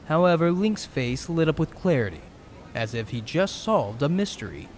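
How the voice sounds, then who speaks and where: A man's voice: fairly high pitch, sing-song, normal speed, a little hiss or hum. A man giving dramatic scripted narration of a story.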